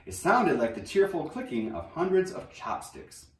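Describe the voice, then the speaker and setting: A man reading a children's novel aloud in an expressive storybook narration style. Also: on the high side, normal speed. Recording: roomy echo, distant microphone.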